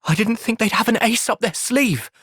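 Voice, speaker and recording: A man playing an earnest, gentle, resolute young soldier in video game cutscenes. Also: extremely high pitch, moderate pace, husky, no echo, studio quality, heavily acted. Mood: calm. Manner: earnest, thoughtful. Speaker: voice actor.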